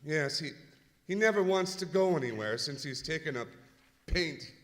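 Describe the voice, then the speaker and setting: A man playing a genial, hesitant orchestra manager, speaking politely and haltingly in old-time radio comedy style. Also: a little high, lively intonation, fairly bright tone. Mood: mild, chatty. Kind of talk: old-time radio comedy acting, live stage revue. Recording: echoey hall, live stage.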